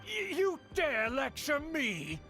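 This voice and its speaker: An actor playing a pompous, scheming older nobleman who lectures his niece in a haughty, indignant way, with soft game music behind. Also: very high-pitched, huge pitch swings, takes it slowly, heavily acted. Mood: outraged. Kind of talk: video game voice acting.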